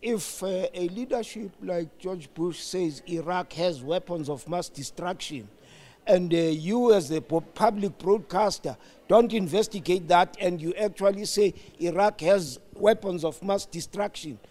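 An older man making deliberate, pausing remarks as an interview guest. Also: high-pitched, a little mellow. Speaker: former radio executive, studio guest.

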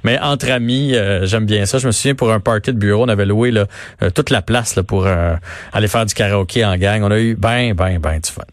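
A man with a Québécois accent hosting a radio show in relaxed, casual chat. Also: lowish voice, a little fast, no room sound, clean studio sound. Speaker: radio host.

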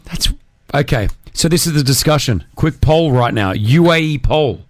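A man's voice: fairly high pitch, animated, moderate pace, no echo. A man hosting a radio show, chatting casually with a co-host and taking listener calls, laughing often.

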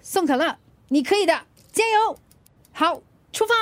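An actress playing a plucky, stubborn delivery rider and mother in a radio drama, expressive and colloquial with a Singaporean accent. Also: extremely high pitch, normal speed, no echo. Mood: determined. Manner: expressive, colloquial, self-urging. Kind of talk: Mandarin radio drama acting.

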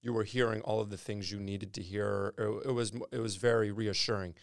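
A man's voice: fairly low pitch, fairly even intonation, a little fast, vocal fry, smooth, dry recording, studio quality. A man hosting a podcast interview, friendly and rambling, repeating words while he thinks. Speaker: podcast host. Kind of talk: casual interview conversation.

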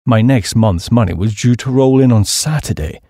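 A man voicing a dejected, penniless young English gentleman with a British accent and a downcast delivery. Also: mid-range voice, normal speed, no echo, studio quality, lightly acted. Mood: despondent, wistful.

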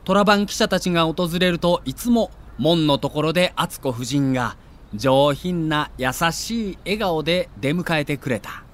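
A man's voice: on the high side, lively intonation, measured pace. A man reading a scripted documentary narration with a theatrical storyteller's delivery.

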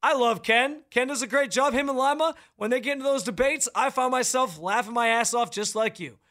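A man hosting a sports radio show in a studio, talking loudly and projecting into a close microphone with fired-up opinions. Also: extremely high pitch, a little fast. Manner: loud, projecting delivery.

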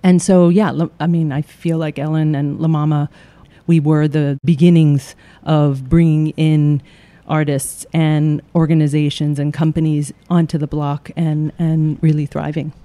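A man answering interview questions about his theater company in a measured way, with frequent fillers. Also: on the high side, level tone, warm tone.

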